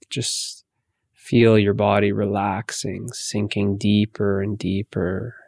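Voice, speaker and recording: Slow, gravelly man guiding meditation. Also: fairly low pitch, dry recording. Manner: soothing, hushed, unhurried instruction with long pauses. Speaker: meditation guide. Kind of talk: guided meditation.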